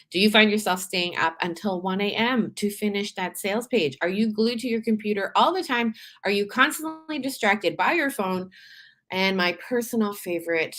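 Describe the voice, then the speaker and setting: A woman from Canada hosting a live show, talking in a chatty, animated way with frequent laughs and restarts, her voice a little tired from days of talking. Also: mid-range voice. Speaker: podcast host. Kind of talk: casual live-stream monologue.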